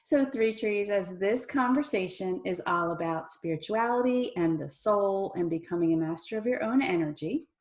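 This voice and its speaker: A woman's voice: mid-range voice, animated, moderate pace, few pauses. Enthusiastic woman host in an echoey room. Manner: enthusiastic, laughs easily. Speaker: interview host. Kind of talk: friendly interview hosting.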